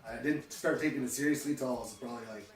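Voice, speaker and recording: A man's voice: mid-range voice, fast. A man in his late twenties from Saskatchewan, a guest rapper answering questions in a laid-back, easygoing way. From Saskatchewan, Canada. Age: late 20s. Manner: laid-back, laughs easily. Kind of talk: casual interview. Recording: echoey room, mic at a distance.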